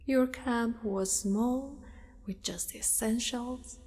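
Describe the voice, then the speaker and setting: Slow, hushed spider monster girl played by a woman. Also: on the high side. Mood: tender fascination. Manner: slow, hushed, often slipping into whispers, formal wording. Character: possessive, doting, predatory female spider monster. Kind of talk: ASMR monster roleplay. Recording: long reverberant echo.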